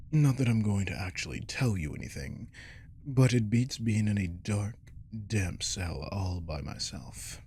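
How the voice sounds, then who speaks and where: A man's voice: sits low, a little mellow, slight background noise, full character voice. A man voicing a captive werewolf in an ASMR roleplay, cocky and predatory, with taunting, flirtatious drawls that often drop toward a whisper. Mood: bored sarcasm. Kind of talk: ASMR roleplay audio drama.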